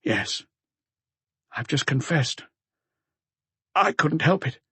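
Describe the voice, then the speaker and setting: A man's voice: a little high, lively intonation, slow, pushed and bright, husky, no echo, pitched above the performer's own voice, heavily acted. A man voicing a shaken middle-aged husband confessing to murder, hesitant and subdued, in an audiobook. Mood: tense, confessing.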